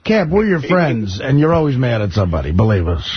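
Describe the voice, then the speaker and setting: A man's voice: medium pitch, big pitch swings, a little fast, husky. A man co-hosting a radio show with casual, joking banter. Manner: joking, wisecracking. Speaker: radio co-host. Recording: dull, lo-fi radio with music.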